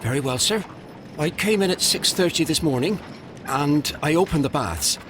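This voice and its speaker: Man playing polite, high-voiced attendant. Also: expressive, moderate pace, noisy background, close to an everyday voice. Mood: dutiful. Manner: deferential. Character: polite, deferential Victorian bathhouse attendant. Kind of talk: video game cutscene acting.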